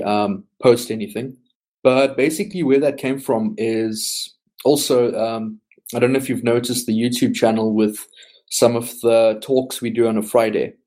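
A man, a software team lead and guest on a casual podcast, explaining at length with frequent ums. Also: mid-range voice.

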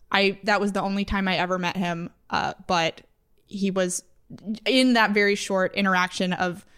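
Woman podcast co-host, smooth and resonant voice, reflective with dry humor. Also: fairly low pitch, a bit bright. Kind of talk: casual podcast chat.

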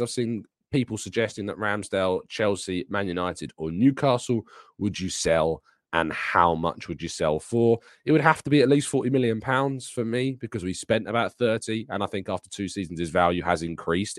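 Guy hosting a live show, hoarse and a bit raspy today, low voice, answers chat questions. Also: no echo, clean studio sound. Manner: reads chat questions aloud and answers casually. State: hoarse, sore throat. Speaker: podcast host. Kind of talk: live transfer news and chat Q&A.

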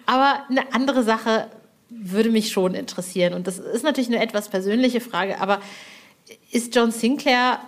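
Effusive woman chatting like an excited fan. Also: on the high side, moderate pace. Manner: effusive, colloquial slang with English swearwords. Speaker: podcast host and interviewer. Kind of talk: casual, gushing fan chat.

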